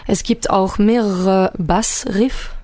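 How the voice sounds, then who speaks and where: A woman's voice: medium pitch, fairly even intonation, takes it slowly, dark tone, dry recording. French-speaking woman talking about her album. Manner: hesitant, many fillers, careful non-native phrasing. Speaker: singer-songwriter guest. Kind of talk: radio interview.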